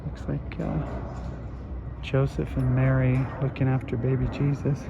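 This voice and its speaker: Hoarse man rambling on a street walk. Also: medium pitch. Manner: rambling, unhurried, many fillers. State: hoarse, recovering from illness. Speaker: vlogger. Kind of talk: walking tour commentary. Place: residential street outdoors. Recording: dull outdoor phone audio with traffic. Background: street traffic.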